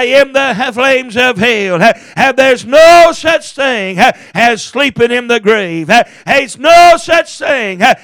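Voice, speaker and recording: A male preacher with a very high, strained-up pitch. He delivers an impassioned sermon in chanted bursts, punctuating each with a gasped "uh". The sound is dry, with no room echo. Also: a bit bright. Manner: emphatic, impassioned. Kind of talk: fervent hellfire sermon.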